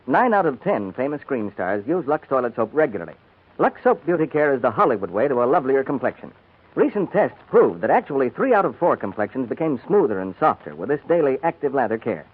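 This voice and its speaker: Fast, animated American radio announcer, male. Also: medium pitch, slightly rough. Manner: polished, emphatic salesmanship. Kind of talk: 1940s radio advertising pitch. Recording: tinny 1940s radio recording.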